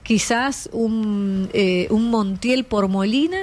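A woman's voice: medium pitch, slow, faint music behind. A woman with an Argentine Spanish accent, co-hosting a casual chat in a self-deprecating, talkative way.

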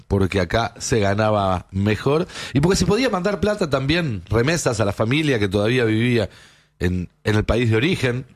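Male Argentine political host, mid-pitched, emphatic commentary that leans on rhetorical questions. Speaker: political news show host. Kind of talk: opinionated news commentary.

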